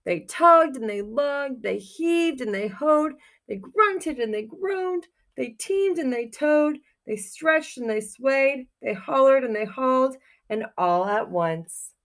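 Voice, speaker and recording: A woman's voice: high voice, slow pace, few pauses. Woman reading aloud to young children. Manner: expressive, sing-song story reading, simple encouraging questions for young children. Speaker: teacher reading a picture book aloud to children. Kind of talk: children's read-aloud with questions to listeners.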